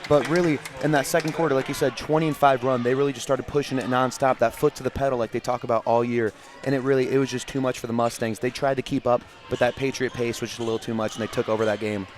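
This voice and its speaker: A man's voice: mid-range voice, fairly even intonation, quick pace, few pauses, a little hiss or hum. A man working as color commentator at a basketball game, giving conversational analysis with loose, run-on thoughts. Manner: conversational, strings thoughts together with fillers.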